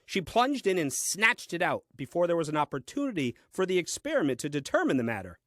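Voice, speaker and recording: An actor playing a literal-minded, puzzled and grumbling Adam in a comic diary-style audio play, with a deliberate, dryly pedantic delivery. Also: male voice, a little high, very animated, fairly bright tone, dry recording. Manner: deliberate, theatrical, dry and pedantic.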